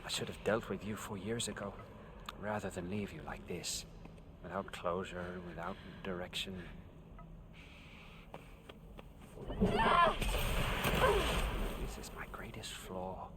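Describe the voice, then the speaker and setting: An actor playing a coldly genteel, menacing villain in a video game, speaking in a formal, condescending, theatrical way. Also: male voice, sits low, level tone, a little slow, pauses often, background noise. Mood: grave, smug. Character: coldly genteel, menacing male villain commanding armed men. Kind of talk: dramatic video game acting.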